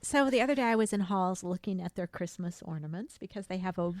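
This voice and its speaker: A woman's voice: on the low side, fast, dry recording, studio quality. A woman co-hosting a podcast, telling stories casually with mock-dramatic, teasing banter. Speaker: podcast co-host. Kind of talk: casual comedic storytelling.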